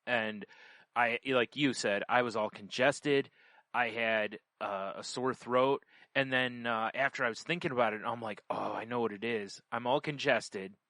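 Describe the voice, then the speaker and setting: Man, bright forceful voice, casual podcast chat, rambling storyteller, very dry close sound. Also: medium pitch, steady tone, a little slow, dry recording. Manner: rambling, conversational, quotes himself and others. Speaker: podcast host. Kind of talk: casual chat, personal anecdotes.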